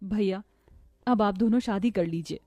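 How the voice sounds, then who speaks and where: A woman's voice: medium pitch, normal speed, warm tone, no echo, studio quality, soft background music, close to an everyday voice. A woman voicing a devoted young sister from a poor family in a cartoon story, pleading and emotional. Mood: tearful, tender.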